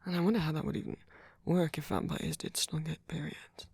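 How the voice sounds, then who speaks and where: A man playing a tender, fretful vampire boyfriend in an ASMR roleplay, speaking softly and hesitantly, often close to a whisper. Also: on the high side, very animated, brisk, no room sound, heavily acted. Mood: musing, playful. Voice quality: breathy, often near whisper. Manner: soft-spoken, hesitant, stammering, tender. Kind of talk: ASMR roleplay audio drama.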